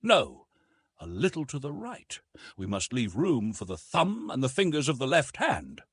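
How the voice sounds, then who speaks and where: A man's voice: mid-range voice, wildly swooping pitch, fairly bright tone, husky, dry recording, clean studio sound, pitched above the performer's own voice, full character voice. Man reading as a precise, instructive police official. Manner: measured, instructive, formal. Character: precise, authoritative male police official, patient expert.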